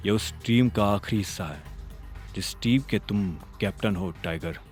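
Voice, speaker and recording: A commanding male boss played by a man in an audio drama. He speaks low and measured, with calm authority and quiet menace, over soft music. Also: close to an everyday voice. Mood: composed, knowing.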